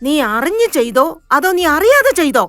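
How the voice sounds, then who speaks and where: A woman's voice: high-pitched, big pitch swings, brisk, fairly bright tone, no room sound, soft background music. A woman voicing a cruel, haughty mistress of a house who scolds harshly in a moral story. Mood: furious, contemptuous. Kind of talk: dramatized moral story for children.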